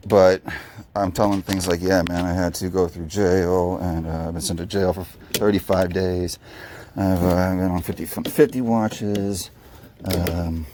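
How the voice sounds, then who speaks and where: A man in his thirties with a raspy, low voice, talking candidly and rambling with many restarts while doing yardwork outdoors. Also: background noise. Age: 30s. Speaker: vlogger. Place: outdoors in a yard.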